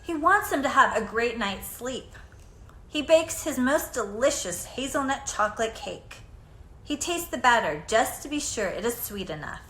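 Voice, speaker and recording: Casting: female children's storybook reader, lively intonation and expressive, deliberate delivery, recorded in an echoey room. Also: a little high. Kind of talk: children's picture book read-aloud. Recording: echoey room, faint background noise.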